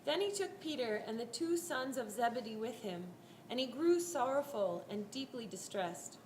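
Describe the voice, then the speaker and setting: A woman's voice: on the high side, animated, normal speed, a little mellow. A woman narrating a scripted scripture story as part of a reader's theater performance. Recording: echoey room, faint background noise.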